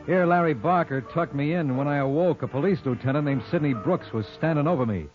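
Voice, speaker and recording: An actor playing a cocky, hard-boiled lawyer with dry, slangy wisecracks in a 1950s radio drama. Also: male voice, a little high, fairly quick, faint music behind, natural voice. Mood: wry narration. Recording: muffled old radio recording.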